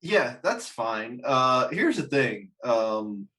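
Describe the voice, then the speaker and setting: Animated man hosting a casual chat show. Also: medium pitch, slow pace. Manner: profane, bluntly opinionated. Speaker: show host. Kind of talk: casual unscripted podcast chat.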